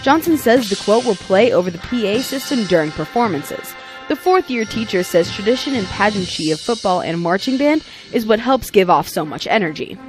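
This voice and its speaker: A woman's voice: medium pitch, animated, moderate pace. An American woman reporter narrating a scripted local TV news story. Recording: music playing behind the voice. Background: music.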